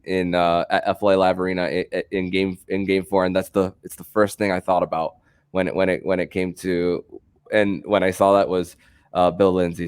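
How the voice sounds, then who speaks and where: A man hosting a sports podcast, with a halting delivery that often stammers and repeats words. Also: low-pitched, clean tone. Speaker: podcast host.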